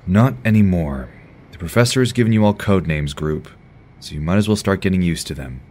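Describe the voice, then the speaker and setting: A man's voice: low-pitched, expressive, a little fast, darkish tone, slight background noise. An actor playing an earnest, stern young male superhero team leader in an audio drama, serious and commanding.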